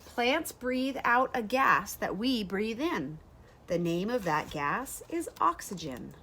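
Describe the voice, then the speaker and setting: A woman in her thirties reading a rhyming children's picture book aloud in a deliberate, expressive way, pausing to explain. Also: fairly high pitch, slight background noise. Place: small sunroom with large windows, indoors.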